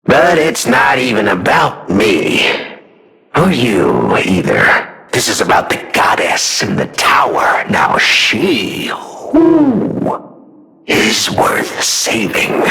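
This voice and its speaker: Crazed killer puppet man from a video game, gleeful and taunting, sharp gravelly voice, super theatrical. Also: medium pitch, wildly swooping pitch, slow pace, exaggerated voice, low-quality recording. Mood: taunting, scheming. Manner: theatrical, gleefully unhinged, swinging between hushed and shouted. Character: manic, mocking, murderous male puppet, self-styled king of riddles.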